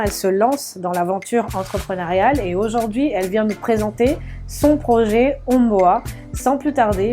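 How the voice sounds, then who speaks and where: A woman's voice: mid-range voice, dark tone. A woman hosting an interview magazine show, opening with a polished intro and then asking questions. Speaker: host.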